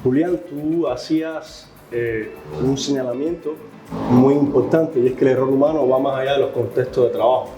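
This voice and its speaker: A man with a Cuban Spanish accent, a professor guest on a podcast, answering in a measured, explanatory way with frequent hesitations. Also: mid-range voice, steady tone, echoey room, lo-fi sound, over music. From Cuba.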